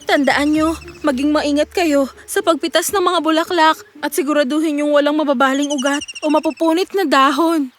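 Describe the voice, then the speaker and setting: A woman's voice: high-pitched, fairly even intonation, normal speed, faint music behind, close to an everyday voice. A woman acting the young women and mothers of a children's fairy tale, with theatrical, exclamatory delivery. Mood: earnest.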